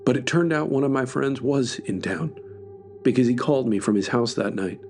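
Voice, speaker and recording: A man's voice: medium pitch, lively intonation, moderate pace, darkish tone, soft background music. A man reading scripted first-person horror narration as a story podcast narrator.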